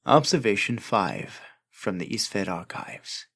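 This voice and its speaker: A man playing a solemn archivist, reading observation records in a formal, measured, detached narration. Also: fairly low pitch, big pitch swings, gravelly, fairly bright tone, exaggerated voice. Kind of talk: scripted in-world documentary narration.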